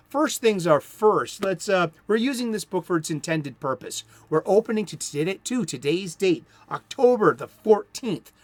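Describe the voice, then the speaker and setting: A playful man from Boston talks comics on his own show, his pitch bouncing high and low as he rambles through eager asides. His voice sits a little high for a man, and the room around him is dry and close. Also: dry recording. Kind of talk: enthusiastic solo comics talk.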